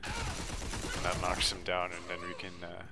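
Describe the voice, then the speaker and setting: A man's voice: low-pitched, huge pitch swings, a little slow, heavy background noise. A man giving a casual, matter-of-fact walkthrough commentary on a video game. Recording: game music behind the voice. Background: video game music.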